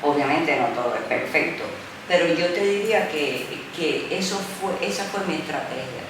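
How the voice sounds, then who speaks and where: A middle-aged Puerto Rican woman, a journalist, answering interview questions in an animated way, with many "eh" pauses and English fillers. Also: sits low. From Puerto Rico. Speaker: journalist, former news director. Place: art gallery space. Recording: echoey room, mic far off, noisy.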